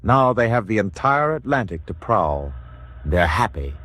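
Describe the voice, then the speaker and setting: A man playing an authoritative documentary announcer in a 1940s radio drama, measured, formal and terse. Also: lowish voice, big pitch swings, fairly bright tone, exaggerated voice. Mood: crisp, wry. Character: authoritative male documentary announcer describing war scenes. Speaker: second narrator.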